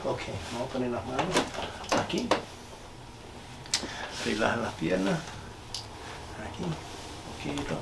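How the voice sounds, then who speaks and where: Male chiropractor, gravelly and unhurried, gentle short instructions, echoey noisy room. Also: mid-range voice, expressive. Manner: gentle, polite, short reassuring phrases. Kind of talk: calm hands-on treatment instructions to a patient. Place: echoey indoor treatment room. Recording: echoey room with loud background noise.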